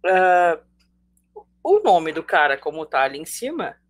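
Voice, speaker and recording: A woman's voice: fairly low pitch, sing-song, measured pace. Chatty Brazilian woman teaching online. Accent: Brazilian Portuguese. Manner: chatty, jokey, addresses students directly. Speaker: sociology teacher. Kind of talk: live online class.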